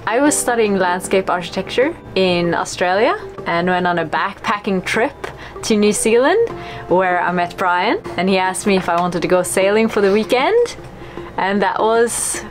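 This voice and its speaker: A woman's voice: mid-range voice, expressive, normal speed, soft background music. A woman from Sweden, a native Swedish speaker, chatting casually as a sailing vlogger showing viewers around. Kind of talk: casual chat and tour to camera.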